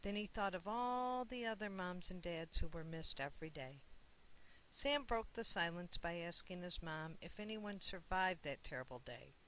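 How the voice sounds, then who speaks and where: Bright-voiced storybook narrator. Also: medium pitch, moderate pace, a little hiss or hum, rough recording. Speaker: author reading own children's book.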